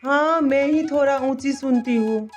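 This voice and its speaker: A woman playing a domineering, bad-tempered merchant's wife in an animated moral story, scolding and haughty. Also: sits high, measured pace, faint music behind. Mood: scolding, bossy.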